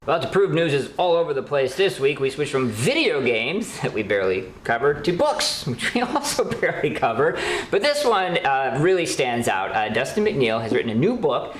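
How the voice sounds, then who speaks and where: A man's voice: medium pitch, sing-song, normal speed, few pauses. A man co-hosting a horror news show with casual, bantering talk. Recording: roomy echo, faint background noise.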